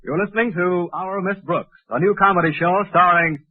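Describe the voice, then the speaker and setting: A very muffled 1940s radio recording of a man announcer. His voice sits somewhat high, and he reads scripted narration in classic old-time radio comedy style. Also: a little fast, over loud music.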